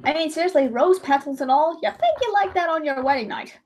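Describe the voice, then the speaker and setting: A woman's voice: sits high, brisk, a little mellow, slight background noise. A young woman chatting casually while drawing on a livestream, rambling and correcting herself, her voice a little strained. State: voice gone high and strained.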